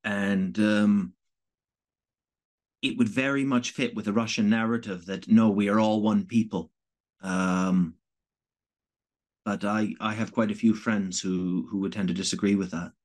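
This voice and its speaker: A man from Canada, a guest in an interview, answering in a halting, thoughtful way with many pauses and restarts. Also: on the low side, fairly even intonation. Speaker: interview guest, volunteer evacuation worker.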